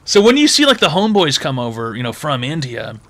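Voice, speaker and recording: A man's voice: mid-range voice, wildly swooping pitch, brisk, fairly bright tone. A man hosting a call-in advice show, brash and mocking with crude, profane jokes.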